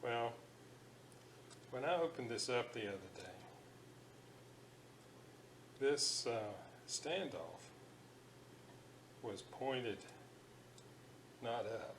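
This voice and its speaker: A middle-aged man giving a casual workbench demonstration, thinking aloud in an unhurried way with fillers. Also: medium pitch, expressive, a bit bright, slight background noise. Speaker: radio restoration hobbyist. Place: home electronics workbench.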